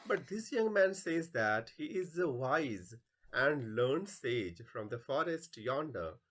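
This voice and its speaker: A man's voice: mid-range voice, big pitch swings, slow pace, a bit bright, full character voice. A man playing a respectful, bewildered palace guard in a play reading, speaking deferentially. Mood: confused, respectful.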